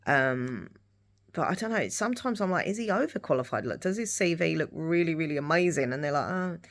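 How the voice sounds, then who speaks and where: A middle-aged woman chatting casually in a rambling vlog style, her voice husky and dry-throated from hay fever. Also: deep voice, animated, fairly quick. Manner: rambling, repeats herself. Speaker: lifestyle vlogger. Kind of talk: casual rambling chat to camera.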